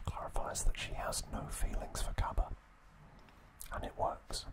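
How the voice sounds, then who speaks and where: A man narrating trivia as an ASMR host in a breathy, unvoiced whisper, soft and deliberate. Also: poor audio.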